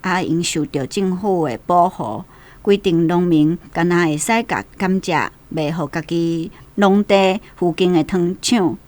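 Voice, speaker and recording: Female radio commentator, Taiwanese accent, lowish pitch, orderly explanatory delivery with conversational touches. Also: unhurried, darkish tone. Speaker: guest commentator on a radio programme.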